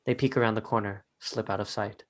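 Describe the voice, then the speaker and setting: A man's voice: on the low side, fairly even intonation, brisk. A man narrating a script, reading out stage directions in short phrases.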